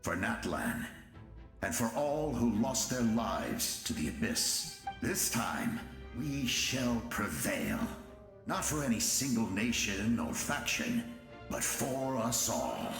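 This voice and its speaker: A man playing a grave, resolute war leader in a video game, speaking in deliberate, declamatory phrases to rally his nation. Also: mid-range voice, sing-song, fairly bright tone, reverberant, soft background music, heavily acted. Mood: solemn, rallying. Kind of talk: dramatic video game voice acting.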